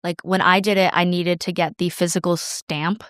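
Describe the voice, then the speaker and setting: Woman, young adult, casual guest chat, smooth voice, dry close mic. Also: fairly low pitch, little pitch movement, moderate pace, a bit bright, dry recording. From United States. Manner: chatty, laughs often, trails off into restarts. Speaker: podcast guest.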